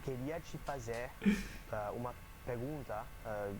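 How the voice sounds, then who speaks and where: A man learning a language, talking in a hesitant, halting way and searching for words. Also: medium pitch, music in the background. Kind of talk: casual conversation. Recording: noisy clip from another video.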